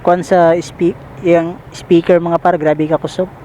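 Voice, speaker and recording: A man's voice: a little high, little pitch movement, unhurried. A Filipino man with a Bisaya accent chatting casually and informally to viewers as he rides, recorded on a helmet intercom. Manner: informal, repeats phrases, addresses viewers as pals. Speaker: motorcycle delivery rider vlogger. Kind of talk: casual vlog chat while riding. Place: outdoors riding a motorcycle in traffic. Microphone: helmet intercom microphone. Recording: helmet intercom with loud traffic noise.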